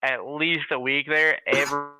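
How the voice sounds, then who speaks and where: A man in casual chat, talking in an excitable, emphatic way. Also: on the high side, moderate pace, rough recording.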